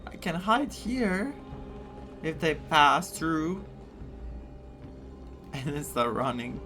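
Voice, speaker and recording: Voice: mid-range voice, sing-song, very slow. A streamer reacting casually and expressively to a story game, with game music behind the voice. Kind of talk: casual live gameplay reaction.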